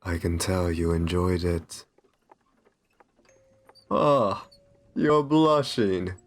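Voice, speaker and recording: A man playing a kind, doting aristocratic vampire in an ASMR roleplay, speaking in gentle, reassuring, genteel endearments. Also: low-pitched, lively intonation, extremely slow, heavily acted. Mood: tender, reassuring. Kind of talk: ASMR roleplay, scripted intimate monologue.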